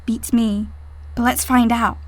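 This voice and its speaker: A woman playing a plucky, casual teenage mutant girl in an audio drama, with a slightly creaky voice. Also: on the high side, flat delivery, a little slow, heavy background noise, lightly acted.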